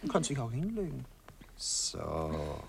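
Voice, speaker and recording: An actor playing a tender, vain, dreamy poet in a radio drama, speaking in an affected, effusive, seductive manner. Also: male voice, mid-range voice, huge pitch swings, slow pace, creaky, background noise, heavily acted. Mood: cooing, coaxing. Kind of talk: 1960s German radio drama acting.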